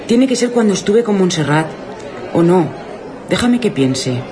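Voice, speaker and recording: A woman playing a scatterbrained, excitable young woman from Spain with a Spanish accent, slangy and full of repeated exclamations. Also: lowish voice, measured pace, over a noisy crowd. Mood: agitated. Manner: breathless exclamations, slangy repetition.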